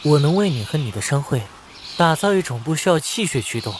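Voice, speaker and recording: A man playing a shrewd, ambitious young mecha-builder hero, assured and persuasive, in an animated fantasy drama. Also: a little high, brisk, noisy background. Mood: cool, assured.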